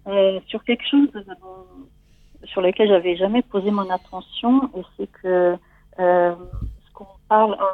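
Woman, 40s, Italian accent. Hesitant, personal, conversational guest, mid-range pitch, very dry sound. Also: fairly even intonation, a little slow, no echo, narrow, tinny audio. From Italy. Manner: hesitant, searching for words. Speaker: guest seeking coaching.

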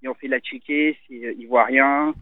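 A man from Italy, a restaurant owner, talking casually on the phone in an interview, relaxed and hesitant with many fillers. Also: mid-range voice, moderate pace, creaky. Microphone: telephone. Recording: tinny phone line.

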